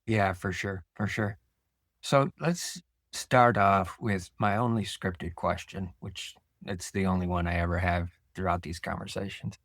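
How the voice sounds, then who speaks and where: Deep-voiced American man hosting, dry sound. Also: steady tone, measured pace, a bit bright, dry recording. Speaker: podcast host. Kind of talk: interview hosting, conversational with read-out introduction.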